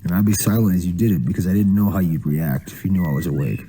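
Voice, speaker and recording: A man's voice: deep voice, fast talker, warm tone, husky, poor audio. A man doing spoken-word storytelling in a slangy, profane, casual manner.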